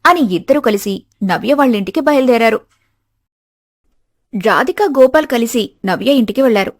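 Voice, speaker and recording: A woman's voice: fairly high pitch, animated, moderate pace, no room sound, studio quality. A woman reading scripted narration for a moral bedtime story.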